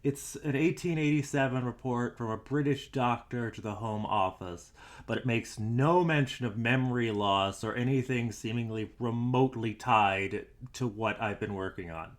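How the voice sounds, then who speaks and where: A man's voice: medium pitch, moderate pace, lightly acted. A man playing a weary, doubtful investigator in an audio drama, dictating private logs in a hesitant, dryly skeptical, musing way. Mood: frustrated, puzzled. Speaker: investigator and show host character.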